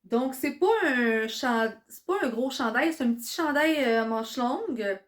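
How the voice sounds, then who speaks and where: A woman's voice: a little high, unhurried. Chatty Quebec woman with a stuffy cold. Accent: Quebec French. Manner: chatty and excitable, many fillers. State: congested with a cold. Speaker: vlogger. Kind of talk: casual vlog chat.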